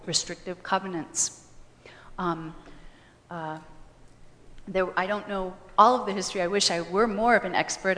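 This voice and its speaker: A woman's voice: lowish voice, fairly bright tone. A woman lecturer speaking informally to a public gathering, explaining and telling history like a story. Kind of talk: informal public talk answering audience questions. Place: large reverberant hall, public convocation. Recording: hall with long reverb.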